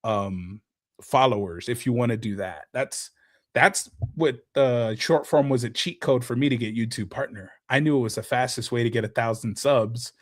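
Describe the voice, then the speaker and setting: Guest creator, mid-pitch man, lively explainer with game talk. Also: moderate pace. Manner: animated, explains with gaming comparisons. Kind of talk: casual podcast chat.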